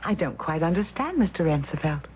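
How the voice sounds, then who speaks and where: Earnest young job seeker, muffled radio. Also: female voice, low voice, very animated, fairly quick. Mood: puzzled, uneasy. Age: young adult. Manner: earnest, eager, polite. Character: earnest, determined young woman seeking work as caregiver. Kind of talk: old-time radio drama acting. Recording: muffled old radio recording, faint noise.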